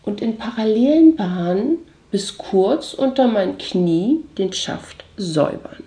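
A woman playing a haughty mistress in an intimate audio drama, commanding and condescending, often slipping into breathy whispers. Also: medium pitch, lively intonation, takes it slowly, warm tone, reverberant. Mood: commanding, sensual. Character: haughty dominatrix mistress commanding her servant. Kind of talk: scripted German audio drama monologue, intimate.

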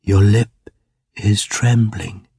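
A solemn, ancient spirit from a storybook, as a man acts it. The voice is soft, low and husky, gentle yet commanding. It moves slowly and with measured weight. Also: fairly even intonation, dry recording, clean studio sound, heavily acted.

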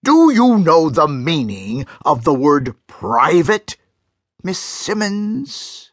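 A man's voice: on the high side, very animated, slow pace, no room sound, clean studio sound, full character voice. A man playing a stern, pompous male school principal who scolds, in a children's audiobook. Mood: curt, scolding. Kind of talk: full-cast children's audiobook acting.

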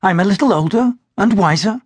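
A male narrator voicing a young widowed woman, pitched up and speaking softly and earnestly. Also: lively intonation, a little fast, breathy edge, no room sound. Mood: wistful. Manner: raised pitch, soft and earnest. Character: young widowed woman, heartsick yet composed.

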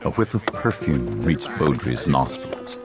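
A man narrating a dramatized western audiobook in a measured storytelling style. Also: a bass voice, sing-song, loud music behind the voice.

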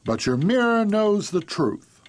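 An actor playing a skeptical, sardonic Victorian physician in an audio drama, pompous, blustery and scornful in manner. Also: male voice, sits high, sing-song, measured pace, noisy background. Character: indignant, sardonic male Victorian physician, skeptical old friend.

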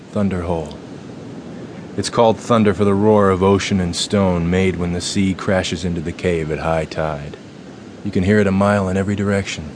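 An actor playing a solemn, ominous fraternity leader in a radio drama, with a slow theatrical and commanding delivery. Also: male voice, sits low, background noise, lightly acted. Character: solemn, ominous fraternity leader telling ghost tales and giving orders. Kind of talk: dramatic radio play acting.

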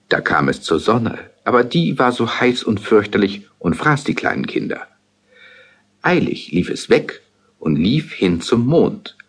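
A husky-voiced man narrating a fairy tale in a measured, storytelling manner. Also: lowish voice.

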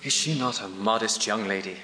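An actor playing an earnest, lovestruck young count in ardent Shakespearean stage style. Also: male voice, on the low side, brisk, pushed and bright, echoey room, big-room sound, low-quality recording. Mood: smitten, earnest. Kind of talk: theatrical Shakespearean stage acting.

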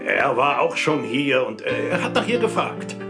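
A man's voice: medium pitch, very animated, moderate pace, over music. An actor playing a shifty, dismissive male nightclub owner in a German audio drama, evasive and mockingly polite.

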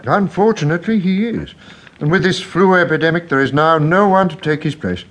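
An actor playing a kindly, absent-minded older English country rector in a radio drama, fussy and effusive, often hesitating and repeating himself. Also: male voice, a little high, a little fast, a bit bright, close to an everyday voice. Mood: dismayed.

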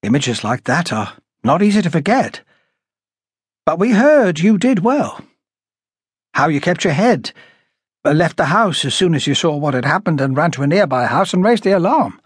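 A man voicing a composed, tactful female police detective in audiobook dialogue, measured and polite. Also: fairly high pitch, very animated, breathy edge, no echo, clean studio sound, pitched above the performer's own voice. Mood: reassuring.

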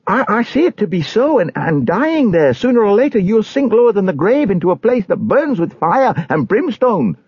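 A man's voice: high voice, sing-song, moderate pace, few pauses, slightly rough, no room sound, muffled recording, pitched above the performer's own voice, full character voice. A man voicing an earnest pilgrim in a storybook, stammering, emotional and imploring. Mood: fervent. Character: anguished, pleading pilgrim man, earnest and fervent.

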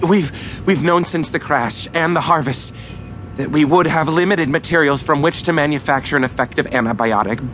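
An earnest male scientist under heavy strain played by an actor speaks in a fairly high voice, intense and halting. It is radio drama with faint music underneath. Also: a bit bright, natural voice. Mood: anguished, restrained. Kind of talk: radio play acting.

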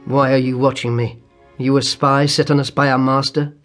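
A man's voice: mid-range voice, flat delivery, brisk, a bit bright, faint music behind, close to an everyday voice. A man voicing a bitter, angry, starving weaver in a storybook, declaiming with embittered passion. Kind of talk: dramatised storybook character reading.

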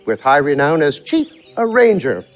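Wily manservant for verse comedy: knowing, flourishing, higher-pitched male voice, lively swoops. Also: normal speed. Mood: teasing, boastful. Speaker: actor. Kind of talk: theatrical verse comedy performance.

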